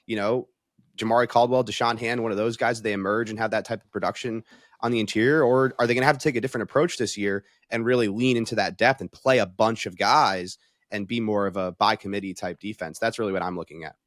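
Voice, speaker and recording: A man's voice: fairly low pitch, fairly even intonation, quick pace, dry recording, clean studio sound. A man talking through sports analysis in a conversational, casual way, thinking aloud as a panel analyst.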